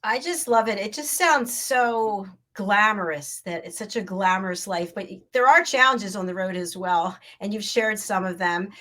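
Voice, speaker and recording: An older woman hosting a show, delivering an upbeat welcome and then chatting warmly with a guest. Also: medium pitch, normal speed, few pauses. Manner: enthusiastic, laughs often. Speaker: host and network president. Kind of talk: scripted intro then casual chat.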